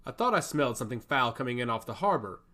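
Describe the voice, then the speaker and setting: Scornful royal adviser woman read by a male narrator, rude and haughty, animated mid-range pitch. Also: fast talker. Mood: contemptuous. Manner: rude, contemptuous.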